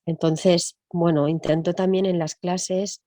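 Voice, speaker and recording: A woman music teacher talking in an interview, thinking aloud and often tagging her points with quick questions. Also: on the low side, little pitch movement, mellow, no echo, studio quality. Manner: reflective, thinks aloud with frequent tag questions. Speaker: music teacher and percussionist guest. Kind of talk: conversational interview.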